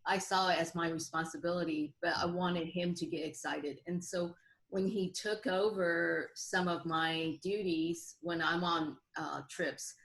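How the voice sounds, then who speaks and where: Lowish-voiced woman, podcast guest. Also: fairly even intonation, a little slow, a bit bright, poor audio. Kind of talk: casual chat.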